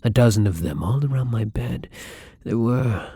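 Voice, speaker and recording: A man playing a newly turned young vampire in an intimate ASMR roleplay, apologetic and hungry, speaking softly and hesitantly with a husky, breathy voice that often drops to a whisper. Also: medium pitch, expressive, moderate pace, no room sound, clean studio sound. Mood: subdued, wistful.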